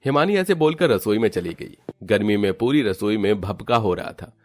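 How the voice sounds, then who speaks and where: Low-pitched male story narrator. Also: expressive, moderate pace. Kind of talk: scripted storybook narration for a Hindi cartoon.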